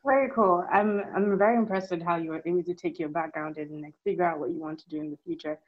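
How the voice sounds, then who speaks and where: Young female interviewer, encouraging and affirming; lowish voice, a bit muffled lo-fi audio. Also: fairly even intonation, fast talker. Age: young adult. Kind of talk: casual interview hosting.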